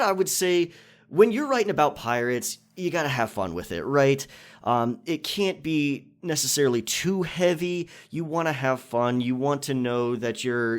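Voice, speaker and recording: Guy with a medium pitched voice doing a laid out casual q&a for his listeners, kinda reflective, explains stuff. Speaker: ASMR channel creator answering listener questions. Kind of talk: casual Q&A monologue.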